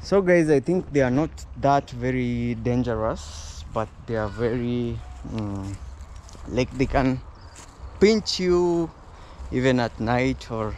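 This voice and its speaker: A young Kenyan man filming a casual vlog outdoors in a rural yard, speaking to his viewers in a relaxed, low-key way. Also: takes it slowly. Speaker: vlogger. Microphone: small clip-on mic. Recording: outdoor noise behind the voice.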